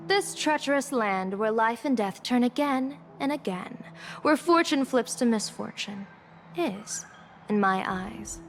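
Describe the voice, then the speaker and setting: A woman voice acting a shrewd, composed general and diviner in a game cutscene, speaking in a measured, formal, theatrical way. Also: fairly high pitch, a little hiss or hum. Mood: knowing, confident. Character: shrewd, composed female general and diviner, secretly gambling against gods.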